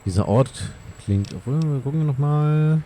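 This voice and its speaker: A man's voice: a little high, normal speed, mellow. A native German man giving casual let's play commentary, reading dialogue choices aloud. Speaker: gaming let's player.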